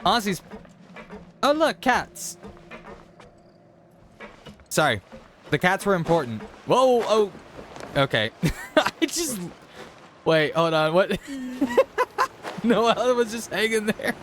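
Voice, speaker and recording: A man's voice: sits high, very animated, slow, pauses often, fairly bright tone, slight background noise. A man giving casual playthrough commentary as a gaming streamer, chatty and joking, reacting aloud.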